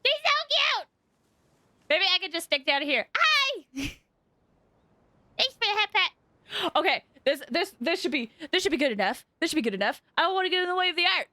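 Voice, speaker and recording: A woman game streamer reacting live in an excitable, gushing way, often repeating her phrases. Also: very high voice, normal speed, pauses often, no room sound. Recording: game music behind the voice.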